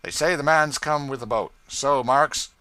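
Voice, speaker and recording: A man reading an audiobook and voicing a loud, domineering slave catcher in a hard, forceful tone. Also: medium pitch, normal speed, clean tone, no echo, voice pushed up, lightly acted. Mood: blunt, bossy. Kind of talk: audiobook character voice.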